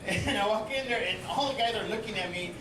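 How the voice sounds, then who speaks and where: A man's voice: high-pitched, brisk. A man from East Los Angeles performing a stand-up set, chatty and full of restarts and crowd asides. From East Los Angeles, USA. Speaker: stand-up comedian. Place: comedy stage on a cruise ship. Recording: echoey live venue from far off. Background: loud audience laughter and noise.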